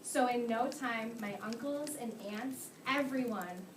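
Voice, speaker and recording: A woman's voice: a little high, a little slow, noisy background. A woman teaching young children during a read-aloud, speaking in simple, repeated instructions and prompting questions. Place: classroom, recorded from across the room. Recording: echoey room, distant mic, loud children's chatter. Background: young children talking.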